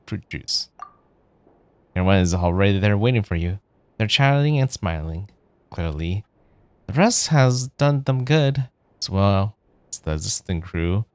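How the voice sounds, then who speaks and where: A man's voice: fairly low pitch, no echo. A man doing let's play commentary as a game streamer, chatting and reading story text aloud.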